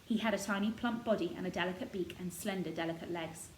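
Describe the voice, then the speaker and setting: A woman's voice: fairly low pitch, fast talker. A woman reading scripted narration from a children's novel. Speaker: storybook reader. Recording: roomy echo, noticeable background noise.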